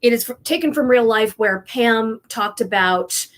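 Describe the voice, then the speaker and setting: A woman author on a video call, explaining a story plot in a measured way with small fillers. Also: a little high, lively intonation. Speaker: author interviewer. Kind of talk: casual conversation on a virtual event.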